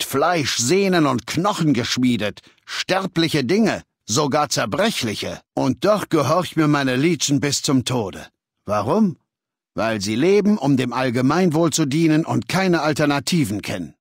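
An actor playing a commanding, arrogant warlord in a video game, speaking in a measured, authoritative and condescending way. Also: male voice, mid-range voice, breathy edge, no room sound, studio quality, heavily acted. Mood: lecturing, proud. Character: commanding, arrogant male warlord, cold and imperious, ailing. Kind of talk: acted video game dialogue.

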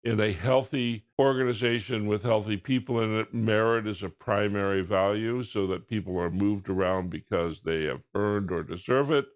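A man in his sixties giving a prepared video keynote, explaining in a measured, deliberate way with pauses. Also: fairly low pitch. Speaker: keynote speaker. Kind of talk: prepared presentation to camera. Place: indoors, recorded video presentation.

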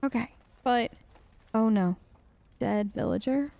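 A woman's voice: fairly high pitch, slow pace, creaky, mellow, dry recording. A woman doing playful, chatty role-play commentary over a game, talking to the characters as she plays. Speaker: gamer playing in character.

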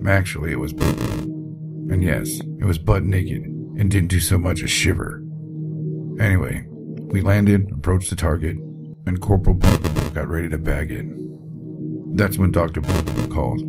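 An actor playing a gruff, foul-mouthed, shaken military captain in an audio drama interview log. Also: male voice, low-pitched, unhurried. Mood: grim, uneasy. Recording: voice over loud background music.

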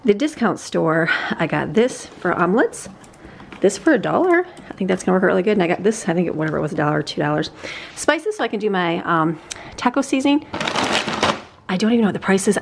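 A woman's voice: fairly low pitch, lively intonation, quick pace, creaky, a little hiss or hum. A woman in her forties chatting casually through a grocery haul on a handheld camera, laughing often and rambling. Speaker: family vlogger. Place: outdoors on a porch, earlier indoors at a kitchen counter.

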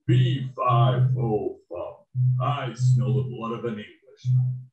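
A man voicing a huge, hungry, bossy man-eating ogre in a children's fairy tale, with drawn-out menacing chants. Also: medium pitch, distant mic, close to an everyday voice. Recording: dull roomy recording, faint music.

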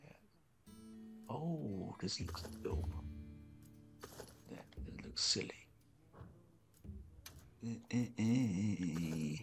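A man's voice: lowish voice, big pitch swings, extremely slow, creaky, long pauses. A man giving casual let's-play commentary, muttering slangy, sweary reactions in short bursts. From Netherlands. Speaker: gaming streamer. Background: game music.

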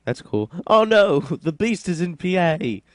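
A man's voice: sits high, sing-song, a little slow, dry recording, clean studio sound. A man from Pennsylvania giving casual, joking let's play commentary with sarcastic asides. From Pennsylvania, USA.

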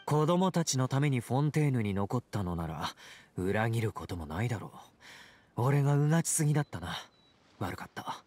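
An actor playing a teasing, playful young fighter in a Japanese game, casual and smooth-talking with an edge. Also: male voice, mid-range voice, very animated, a little fast, a little mellow. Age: young adult. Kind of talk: Japanese anime-game voice acting.

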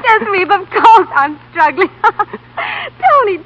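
Casting: animated high-voiced comedy woman, 1930s radio style, muffled noisy broadcast. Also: unhurried, full character voice. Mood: teasing.

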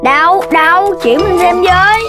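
A woman voicing a whiny, timid young animal child in a children's cartoon, with childlike drawn-out delivery. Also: extremely high pitch. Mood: curious, chatty. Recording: loud music behind the voice.

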